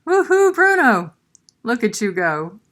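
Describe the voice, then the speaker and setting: Storybook child, voiced by a woman reader: excited, high, exclaiming, showing off. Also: slow, exaggerated voice. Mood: excited, cheering. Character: excited, cheering young child playing with a cat.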